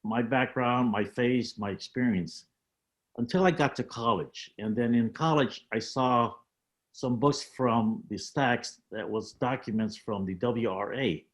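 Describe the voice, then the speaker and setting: An older man speaks over a video call as a panel guest, reflecting on his life story. He talks in a measured, halting way, pausing on fillers as he picks his words. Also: medium pitch.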